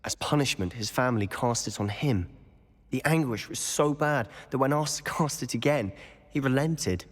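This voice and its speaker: A man's voice: mid-range voice, normal speed, lightly acted. A man playing a brooding, persuasive teenage wizard in a video game, serious and confiding in manner. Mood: grave, confiding. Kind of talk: video game cutscene acting. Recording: long hall-like reverb.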